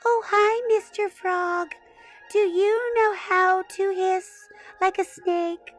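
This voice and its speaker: A woman voicing a shy, hopeful young girl snake in a gentle, high, polite voice. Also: steady tone, slow, soft background music. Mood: sweet hopeful.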